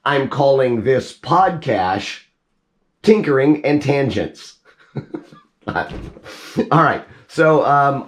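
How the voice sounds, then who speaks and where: A man whose voice is hoarse and cracking from a cold talks casually to his viewers. He rambles and restarts his sentences while he takes a machine apart. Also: medium pitch, a little hiss or hum. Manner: rambling, with frequent restarts and asides. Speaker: YouTube host. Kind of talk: casual chat while tinkering.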